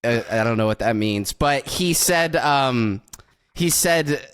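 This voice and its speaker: A man's voice: mid-range voice, animated, slow pace, a bit bright, clean tone. A man hosting a casual group podcast, talking in a teasing, jokey way. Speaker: podcast host.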